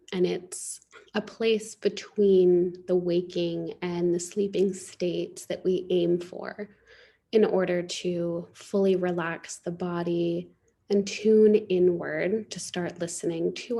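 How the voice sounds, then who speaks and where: A woman with a very mellow, relaxed voice leads a guided meditation as a yoga teacher. She speaks very slowly and soothingly, with a slightly low pitch. Her instructions are unhurried. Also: vocal fry.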